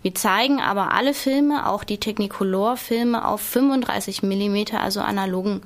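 A woman's voice: medium pitch, brisk. A woman speaking as a radio interview guest, explaining things in a structured, matter-of-fact way. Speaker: film club member, interview guest. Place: radio studio.

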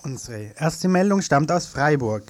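A man from reading a community radio news bulletin in a studio, measured with the odd slip and self-correction. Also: a little high, expressive, a little mellow. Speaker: news presenter.

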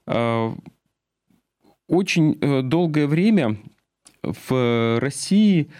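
A man in his forties giving political commentary as a radio host, speaking haltingly with pauses. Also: medium pitch, lively intonation, a little mellow, dry recording, studio quality. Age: 40s. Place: radio studio.

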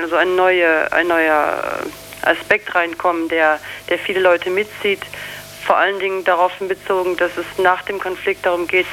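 A spokeswoman explaining in an interview, halting and searching for words. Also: lowish voice, a bit bright, slight background noise, rough recording. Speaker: campaign spokeswoman being interviewed.

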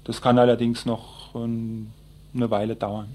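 Halting man with an even, flat pitch. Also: a little hiss or hum. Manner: hesitant, halting, explanatory. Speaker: environmental group activist being interviewed. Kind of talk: spontaneous radio interview answers.

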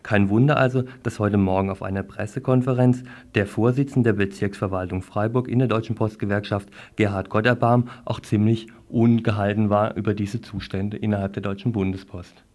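Low-voiced man presenting radio news. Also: steady tone, brisk, few pauses, darkish tone. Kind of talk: radio news magazine presenting, partly informal.

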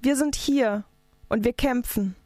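A woman's voice: a little high, a little slow, darkish tone, no echo, clean studio sound. A woman activist delivering a scripted political speech in an emphatic, declarative manner. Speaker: activist speaker.

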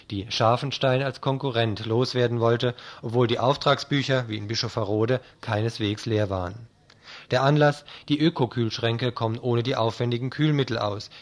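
A man reading a scripted radio news commentary in speech, as a reporter. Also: mid-range voice.

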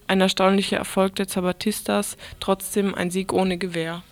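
A woman's voice: medium pitch, level tone, normal speed. A woman presenting radio news, reading from a script.

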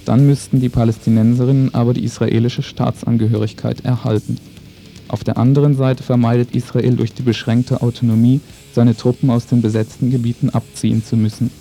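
A man with a very dark, soft-edged voice reads a scripted radio commentary. His delivery is formal and sober, with little rise or fall in pitch. Faint music plays behind him. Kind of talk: scripted political news commentary, read aloud.

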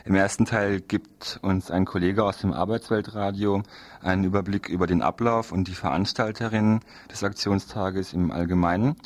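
A man's voice: low-pitched, steady tone, moderate pace. A man working as a radio presenter, giving a semi-scripted preview of the programme.

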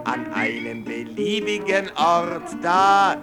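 Guy singing a protest ballad kinda high, loud guitar along with him. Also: animated, slow. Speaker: singer-songwriter. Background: acoustic guitar.

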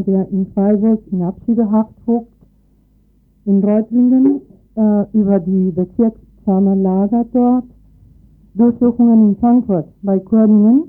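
A woman presents a radio programme in short, halting pieces at a very slow pace. The recording is narrow and tinny, with music playing behind her. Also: mid-range voice. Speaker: radio host. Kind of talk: live announcing of programme and headlines.

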